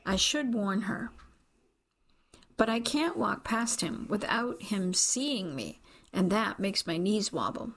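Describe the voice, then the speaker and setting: A woman's voice: medium pitch, animated, slow, rough recording. A woman narrating first-person fiction as an audiobook reader, in a scripted storytelling style.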